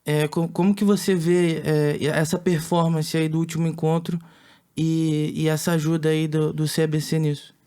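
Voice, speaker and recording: A Brazilian man hosting a business interview, asking questions in a halting way with restarts. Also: a little high, flat delivery, a little mellow, smooth. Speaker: interview host.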